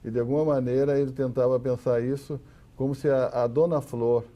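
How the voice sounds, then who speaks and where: A man with a Brazilian accent speaking as an academic guest on a discussion panel, explaining haltingly and often repeating words. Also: mid-range voice, fairly even intonation, mellow.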